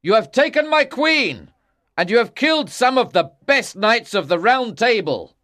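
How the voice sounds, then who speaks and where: Man acting a wronged, solemn king, widely swinging pitch. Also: lively intonation, measured pace, no room sound, clean studio sound. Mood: wrathful, accusing. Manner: solemn, declamatory delivery. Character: grieving, betrayed king, proud and wrathful. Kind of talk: dramatised storybook acting.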